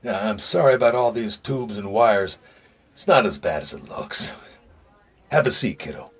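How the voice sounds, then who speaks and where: An actor playing a dying retired Secret Service agent in an audio drama, older, gruff and folksy, weary and confiding, with dry humor. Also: male voice, on the low side, lively intonation, moderate pace, fairly bright tone, exaggerated voice. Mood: weak, welcoming.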